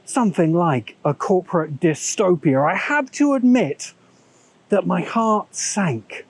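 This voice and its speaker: A man hosting a video essay channel, talking in a measured, musing way with self-correcting asides, recorded on a small camera's built-in mic. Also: high voice, animated. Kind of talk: thoughtful spoken commentary. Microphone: small handheld camera's built-in mic.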